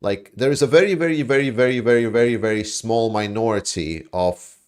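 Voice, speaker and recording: A man from Latvia, hosting a casual livestream and reading viewer chat, rambling and animated, with frequent swearing. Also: mid-range voice, a little fast, clear, resonant tone. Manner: rambling, animated, swears, mocks opponents in put-on voices.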